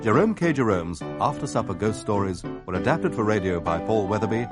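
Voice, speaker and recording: A man's voice: lowish voice, animated, fairly quick. A man reading formal scripted credits as a radio announcer. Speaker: continuity announcer. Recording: loud music behind voice.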